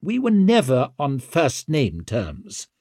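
Man as sardonic king, audiobook character, lively intonation, exasperated, sharp-tongued. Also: mid-range voice, slow, no room sound, clean studio sound, pitched above the performer's own voice, exaggerated voice. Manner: incredulous, sardonic.

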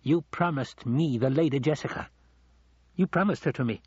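Guy doing a nasty sneering henchman in an audiobook, husky and insinuating, dry dull recording. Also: normal speed, a little mellow, no echo, lightly acted. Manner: low, muttered, insinuating delivery. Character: sneering, sullen, venomous male henchman advisor.

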